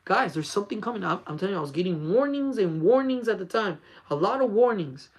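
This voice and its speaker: A man's voice: high voice, expressive, quick pace, slightly rough. A man in his forties hosting a casual faith talk for his channel, speaking in an earnest, repetitive, urging way. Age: 40s. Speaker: channel host.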